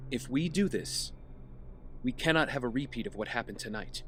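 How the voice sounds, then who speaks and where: An actor playing a brooding, guarded man, a vigilante in an audio drama, speaking in a terse, gruff and stern manner. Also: medium pitch, animated, moderate pace, a bit bright, soft background music, lightly acted.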